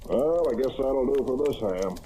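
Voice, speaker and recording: An actor playing a gruff, slow-to-catch-on police lieutenant in an old-time radio crime drama. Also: male voice, medium pitch, lively intonation, mellow, close to an everyday voice. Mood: puzzled. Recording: noisy vintage radio recording.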